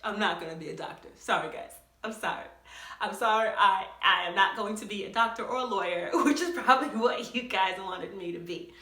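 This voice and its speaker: A young woman telling a story on her vlog in an animated way, often laughing as she talks. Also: mid-range voice, brisk, echoey room. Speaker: vlogger.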